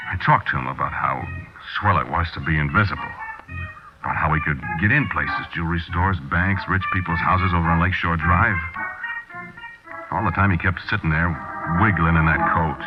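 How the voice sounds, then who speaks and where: An actor playing a hard-boiled American small-time crook, narrating colloquially and confidingly in a 1940s radio drama. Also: male voice, low-pitched, natural voice. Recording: dull 1940s radio broadcast recording.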